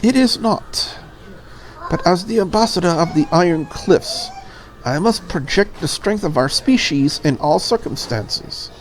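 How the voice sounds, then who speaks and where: A woman's voice: on the low side, lively intonation, a little slow, rough recording. Woman voicing a proud, stiff dragon girl ambassador. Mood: stoic, formal. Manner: stiff, formal, solemn declarations. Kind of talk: visual novel character read aloud.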